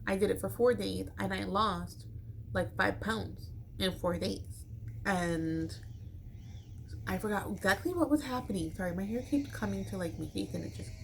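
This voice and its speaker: An American woman speaks in a medium-pitched voice, as if chatting with friends on her vlog. Her delivery is informal and rambling, sprinkled with slang. A faint layer of background noise sits under the recording. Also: moderate pace, creaky. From Chicago, United States. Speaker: vlogger. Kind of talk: casual chat to camera.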